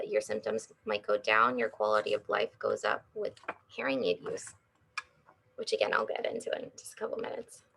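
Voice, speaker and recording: A woman in her thirties with a strongly gravelly, fry-heavy voice gives an educational health talk as an audiologist. Her pitch moves in a lively way, and she explains things plainly with everyday examples. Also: poor audio. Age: 30s.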